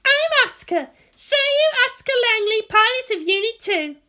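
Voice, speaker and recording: Guy doing bossy teen anime girl for a story reading, super high n loud, flippant, stuck up, needling. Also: very animated, moderate pace, heavily acted. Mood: haughty, sugary. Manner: haughty, needling. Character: brash, bossy, flippant teenage girl pilot.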